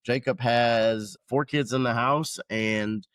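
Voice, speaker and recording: A man's voice: mid-range voice, fairly even intonation, slow pace, fairly bright tone, no echo, clean studio sound. A man in his thirties from Georgia who hosts a podcast and talks in a loose, rambling way with casual swearing. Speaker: podcast host, veteran. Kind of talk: casual chat.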